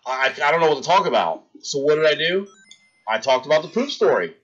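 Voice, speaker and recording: A man in his thirties streaming live, telling a long gross-out story with animated delivery and acting out the scenes for his chat. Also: fairly high pitch, brisk, muffled recording.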